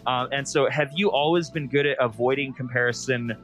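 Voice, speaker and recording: A man's voice: medium pitch, a little fast, fairly bright tone, faint music behind. A man hosting a casual interview, asking questions in an easy conversational way. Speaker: interview show host. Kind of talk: casual interview with quick-fire game questions.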